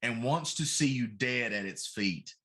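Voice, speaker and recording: A man's voice: mid-range voice, expressive, unhurried, pushed and bright. A middle-aged man co-hosting a casual talk show, complaining in an emphatic, comically exaggerated way. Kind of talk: casual chat.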